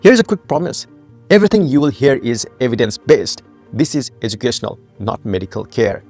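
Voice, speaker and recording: A man's voice: medium pitch, big pitch swings, a little fast, a little mellow, faint music behind. A man from Nepal, founder and host of a health channel, giving a scripted educational narration with emphatic rhetorical questions.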